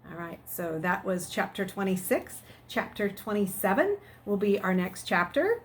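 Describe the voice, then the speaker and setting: A middle-aged woman, a teacher, reading aloud and speaking to her students in an expressive storytelling manner. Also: medium pitch, moderate pace. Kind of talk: expressive narration of a children's novel and chat to students.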